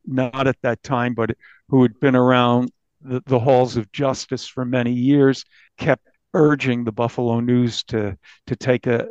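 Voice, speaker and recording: A mature American man with a gravelly voice, a reporter recounting a story in a conversational interview. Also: medium pitch, dry recording. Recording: dull, slightly lo-fi, dry.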